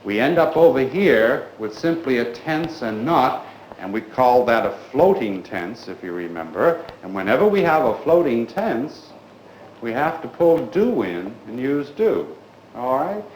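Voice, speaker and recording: A man with a mid-pitched voice leads a school grammar class. He is polite and deliberate, directing questions and instructions to pupils. The room echoes around him and there is noticeable noise on the recording. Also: very animated.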